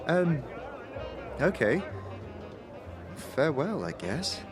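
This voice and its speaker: A man's voice: a little high, sing-song, slow pace, over music. A man voicing a chatty, awkward female clerk in an audio drama, hesitant and polite. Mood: awkward, friendly.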